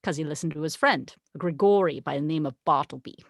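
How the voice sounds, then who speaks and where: A woman's voice: low-pitched, fast talker, no echo, studio quality. A woman reading the part of a haughty, sarcastic angel in a script table read, with a wry, exasperated, condescending manner. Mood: wry storytelling. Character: haughty, sarcastic tequila-loving angel, the voice of God.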